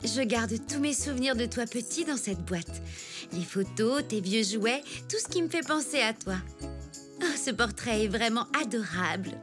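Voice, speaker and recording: Woman playing a tender mother zebra, highish voice. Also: lively intonation, moderate pace, lightly acted. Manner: gentle, affectionate. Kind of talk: French children's cartoon acting. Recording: clear music behind the voice.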